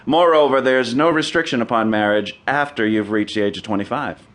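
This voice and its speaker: Shrewd, forceful American lawyer played by a man. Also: medium pitch, fairly quick, close to an everyday voice. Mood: measured. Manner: clipped, unflappable, questioning. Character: shrewd, cool male criminal defense lawyer. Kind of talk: radio drama acting.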